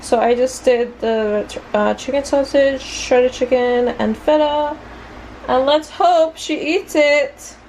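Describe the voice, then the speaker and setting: A woman with a fairly high voice talks in a casual, chatty vlog style. Her delivery rambles and is lightly self-deprecating. Clear ambient noise runs behind her voice. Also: takes it slowly, darkish tone, rough recording. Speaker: vlogger.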